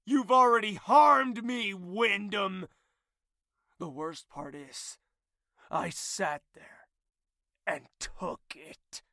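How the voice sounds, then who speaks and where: A man's voice: sits high, very slow, long pauses, pushed and bright, dry recording, studio quality, full character voice. A man playing a proud, betrayed young royal in an audio drama, intense and imperious, with bitterness that can turn volatile. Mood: furious, hurt.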